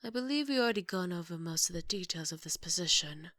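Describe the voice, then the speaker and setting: A woman playing a strict, dryly sarcastic chief maid in an ASMR roleplay, speaking in a formal, measured, scolding way. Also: on the low side, lively intonation, fairly bright tone, no room sound. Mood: formal, stern.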